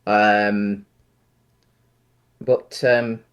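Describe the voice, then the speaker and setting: A man co-hosting a casual film discussion, speaking in a halting, hesitant way with frequent ums. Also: lowish voice, little pitch movement, clean tone, lo-fi sound. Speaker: podcast co-host.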